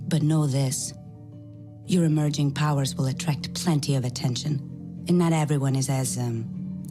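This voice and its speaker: An actress playing a cool, authoritative recruiter for a secret society in a video game, speaking in a measured, dry, self-assured way. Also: female voice, sits low. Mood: cautioning. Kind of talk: scripted video game cutscene acting.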